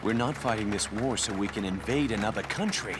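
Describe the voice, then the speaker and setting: A man voices a gruff game soldier with a low voice. He is blunt, cynical and grumbling, with music playing clearly behind him. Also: brisk, a crowd in the background, lightly acted. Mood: indignant. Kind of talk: video game cutscene acting.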